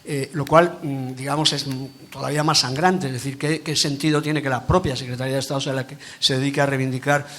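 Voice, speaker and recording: A man's voice: mid-range voice, fairly even intonation, fast, hardly any pauses, a bit bright. A man with a mid-range voice makes a critical, carefully argued comment at a conference. He lays out his points step by step. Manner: critical, precise, building an argument. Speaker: panel participant.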